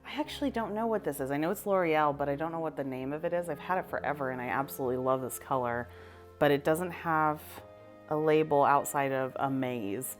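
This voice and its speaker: A woman with a notably low voice chats through her makeup routine in a relaxed vlog. She sounds upbeat and a little self-deprecating. Soft music plays faintly behind her. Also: brisk. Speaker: vlogger. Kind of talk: casual get-ready-with-me vlog.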